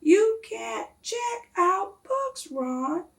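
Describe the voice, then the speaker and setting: A woman voicing a firm storybook librarian who explains rules to a child in a measured, instructive way. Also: very high-pitched, very animated, gravelly, fairly bright tone, far from the mic, pitched above the performer's own voice, full character voice.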